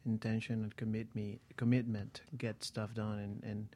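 A man on a motivational talk show, telling stories and explaining ideas with many pauses and restarts. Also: on the low side, level tone, dark tone. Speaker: co-host.

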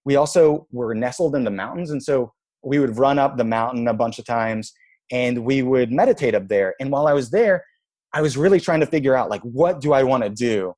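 A man's voice: mid-range voice, a little fast. Man in his thirties, from Israel, interview guest, easygoing, reflective, casual storytelling. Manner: relaxed, reflective, frequent "like" and "you know".

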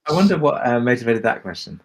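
A man with a mid-pitched voice talks casually as part of a creative panel. He explains things in a meandering way, pausing and restarting as he finds the words. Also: sing-song, a little hiss or hum. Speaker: audio drama creator on a Q&A panel.

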